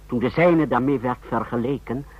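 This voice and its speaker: An actor playing a loyal, ruthless secret-service subordinate, formal and deferential in radio drama style. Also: male voice, medium pitch, normal speed, breathy edge, close to an everyday voice. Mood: dutiful. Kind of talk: mid-century European radio drama acting. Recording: dull, lo-fi old radio recording.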